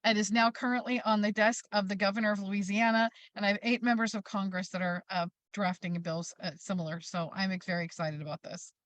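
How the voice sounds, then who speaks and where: A woman's voice: mid-range voice, brisk, hardly any pauses, no room sound. A middle-aged American woman speaking as an interview guest, making an emphatic advocate's case and acting out quotes as she tells stories. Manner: emphatic, animated storytelling with acted-out quotes. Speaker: interview guest, parents' advocacy group leader. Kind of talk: passionate advocacy talk in an interview.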